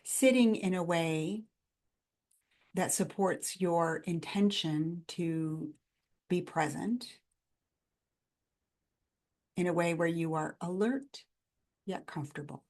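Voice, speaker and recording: A woman guiding a body scan meditation in a gentle, calm, instructional manner, in measured phrases with pauses between them. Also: fairly low pitch. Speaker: meditation guide.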